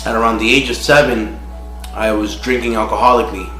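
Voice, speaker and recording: A man with a fairly low voice tells his own recovery story in an unpolished, conversational way, pausing often and filling gaps with "you know." The sound is roomy and echoey, with soft music playing faintly underneath. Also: steady tone. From Miami, United States. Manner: halting and frank, full of "you know" fillers. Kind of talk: casual personal testimony.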